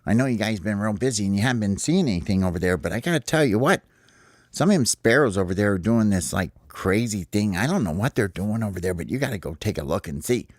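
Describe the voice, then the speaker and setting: A man voicing an eager, chatty male sparrow who rushes to share news. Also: lowish voice, lively intonation, no room sound, studio quality.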